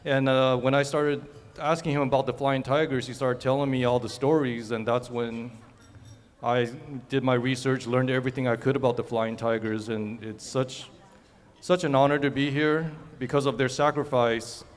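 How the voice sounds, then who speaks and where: A man from Taiwan giving an earnest, somewhat halting speech at a forum. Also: mid-range voice, steady tone. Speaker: guest speaker. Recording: reverberant hall.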